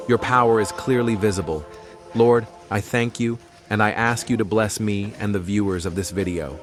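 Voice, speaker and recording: A man with a fairly low voice narrates a travel vlog in earnest, unhurried voice-over, his first language being Persian. He sounds prayerful and reflective, and clear music plays under his words. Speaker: travel vlogger.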